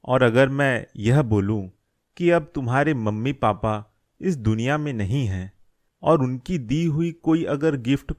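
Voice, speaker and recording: Man doing an old grandpa shopkeeper in a cartoon, earnest n stubborn, very slow talker. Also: mid-range voice, a little mellow, close to an everyday voice. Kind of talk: Hindi moral cartoon story dialogue.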